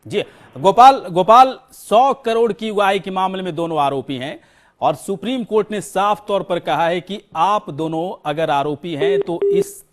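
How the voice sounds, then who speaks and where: A man's voice: high voice, a little slow. A man anchoring live breaking news in an emphatic way, often repeating words for stress.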